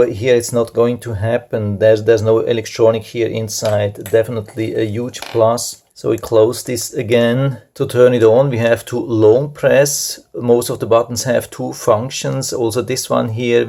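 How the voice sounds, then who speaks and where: A man's voice: medium pitch, dark tone. A man giving an unscripted hands-on product demonstration, explaining step by step in a repetitive way. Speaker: product reviewer.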